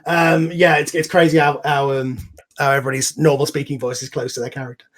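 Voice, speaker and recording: A man's voice: on the high side, little pitch movement, quick pace. A man hosting a casual online group chat, explaining things at length in an enthusiastic, informal, rambling way. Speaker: host and series creator. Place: online voice call.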